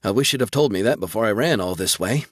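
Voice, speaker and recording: A man's voice: on the low side, expressive, fast talker, slightly rough, no echo, studio quality. A man voicing an eager, bold young woman who works as a war reporter, in an audiobook. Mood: eager, pushy. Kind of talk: audiobook character dialogue.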